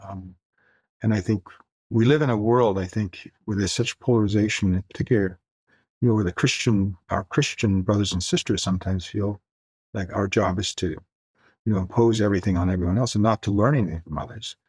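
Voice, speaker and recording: A man's voice: lowish voice, a little fast, darkish tone, breathy edge, no echo. An older man from the Far East, first language Mandarin, talking reflectively as a guest author in a podcast interview, searching for words with light laughs.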